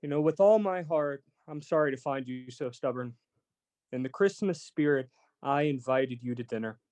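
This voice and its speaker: An actor playing a good-natured young nephew in a radio play, speaking earnestly and kindly. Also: male voice, medium pitch, normal speed, a little mellow, natural voice. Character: cheerful, warm-hearted young nephew.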